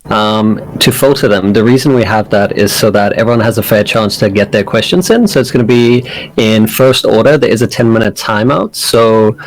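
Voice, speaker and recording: A man's voice: fairly low pitch, normal speed, hardly any pauses, rough recording. A man from South Africa hosting a livestream panel in a casual, upbeat way with lots of fillers. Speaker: streamer, panel host.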